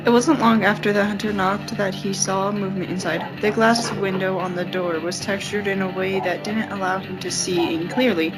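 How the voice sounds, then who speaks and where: Female amateur reader, medium pitch, informal and stumbling delivery, music clearly underneath. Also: steady tone, normal speed, hardly any pauses, rough recording. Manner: stumbles and repeats phrases while reading. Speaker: podcast co-host and reader. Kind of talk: amateur read-aloud of fan fiction.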